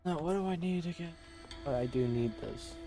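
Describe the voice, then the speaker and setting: A man's voice: a little high, lively intonation, moderate pace, mellow. A man giving casual gameplay commentary as a streamer, half talking to himself and half chatting to viewers. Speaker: game streamer. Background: game music.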